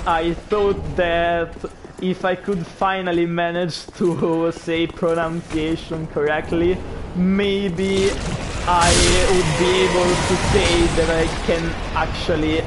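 Italian guy streaming a game, slow and chatty, bit high voice, hiss in the background. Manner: chatty, reacts aloud to the game, apologizes for pronunciation. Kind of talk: gameplay commentary.

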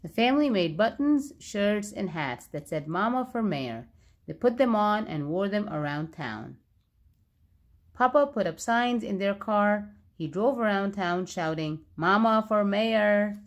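A woman reads a picture book aloud for young children. Her mid-range voice moves up and down in a very animated way. She phrases each sentence clearly and expressively. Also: mid-range voice, a little slow. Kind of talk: storybook read-aloud.

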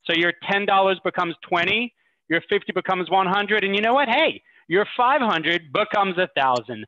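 A man's voice: sits high, expressive, normal speed, pushed and bright, no room sound. A man presenting a charity event, casual and encouraging, in a fundraising appeal over a video call. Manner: informal, encouraging. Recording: muffled dry video call.

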